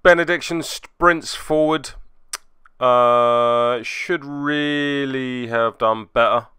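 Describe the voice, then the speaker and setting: A man's voice: medium pitch, very slow, pushed and bright, clear, resonant tone, no echo, studio quality. A man giving casual commentary as host of a tabletop game report, with a wry, self-deprecating manner.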